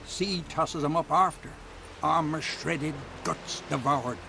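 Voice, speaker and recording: A man's voice: on the high side, takes it slowly, vocal fry, noisy background. A man voicing a grim, plain-spoken seafaring villager in a fantasy video game, grave and folksy in manner.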